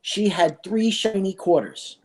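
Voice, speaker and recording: A man's voice: high voice, a little slow. A man reading a children's storybook aloud as a school staff reader.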